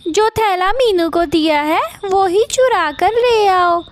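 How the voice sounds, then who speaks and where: Lady doing vain bossy cartoon crow wife, super high pitched, drags her words out. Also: slow pace. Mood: sly, boastful. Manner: showy, self-important, bossy. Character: vain, scheming female crow wife craving fame. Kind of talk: Hindi cartoon character acting.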